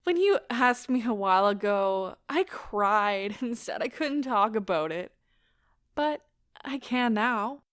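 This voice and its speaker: An actress performs a wounded, bitterly defiant wife in an audio drama. Her high voice moves slowly and deliberately, heavy with impassioned theatrical feeling. The sound is close and dry. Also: lively intonation, a bit bright, dry recording. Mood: resigned, sorrowful.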